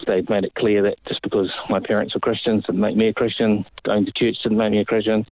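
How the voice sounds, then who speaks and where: A New man in his fifties, speaking in a somewhat low voice as an interview guest, unhurried and full of pauses and fillers. His voice comes through a narrow, phone-like line with no room sound around it. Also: no room sound. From New Zealand. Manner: relaxed, many pauses and fillers. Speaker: ministry guest. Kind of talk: casual interview storytelling.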